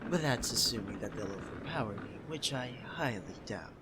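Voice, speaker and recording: A man's voice: medium pitch, expressive, moderate pace, background noise, natural voice. A man playing a suave, self-assured pirate captain in an ASMR roleplay, courteous and playfully smug. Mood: smug, amused.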